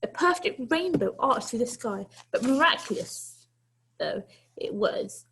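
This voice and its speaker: A woman's voice: a little high, animated, measured pace, gravelly, fairly bright tone, slight background noise. A woman teacher reading a children's story aloud to pupils, expressively and with dramatic, emphatic phrasing.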